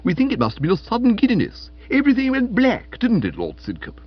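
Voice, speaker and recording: A man voicing a brisk, bossy, hearty upper-class British aunt in a comic audiobook, with gushing, commanding delivery. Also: extremely high pitch, huge pitch swings, breathy edge, dry recording, muffled recording, pitched above the performer's own voice, heavily acted. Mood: hearty concern.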